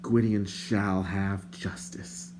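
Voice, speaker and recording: A man's voice: low-pitched, level tone, takes it slowly, a little mellow, a little hiss or hum. A man voicing a proud, hot-tempered young male hero in an audiobook, curt and commanding. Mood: bitter.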